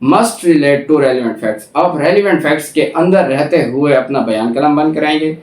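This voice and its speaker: A man's voice: on the high side, measured pace, a little mellow. A man with a Pakistani accent giving a methodical explanatory lecture, reading out formal text and rephrasing each point. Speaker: law lecturer. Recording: echoey room, distant microphone.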